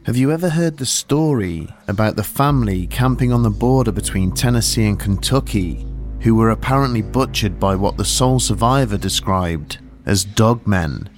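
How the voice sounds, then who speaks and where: Low-pitched male spooky story narrator. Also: animated, faint music behind. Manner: ominous, deliberate dramatic delivery. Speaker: podcast narrator.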